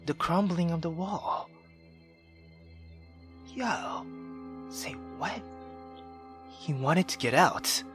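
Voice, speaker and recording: A man doing excitable, slangy let's play commentary and dramatic read-aloud narration of a visual novel. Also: a little high. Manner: theatrical, slangy, exclamatory.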